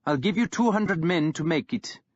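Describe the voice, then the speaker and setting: A man's voice: a little high, animated, normal speed, muffled recording, pitched above the performer's own voice. A man voicing a young male king who speaks in a proud, insistent way. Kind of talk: audiobook character voice.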